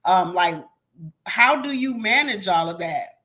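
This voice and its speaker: A woman's voice: mid-range voice, animated, unhurried, bright, forceful tone. A woman hosting an interview, asking questions and giving frequent supportive affirmations. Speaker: interviewer, host. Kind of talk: conversational interview.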